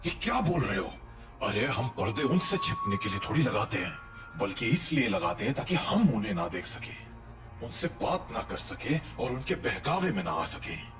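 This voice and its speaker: An actor playing a stern, protective father and village leader, speaking gravely and with authority in a horror audio drama. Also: on the low side, very animated, normal speed, far from the mic, over music. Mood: grave, warning. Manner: commanding, grave.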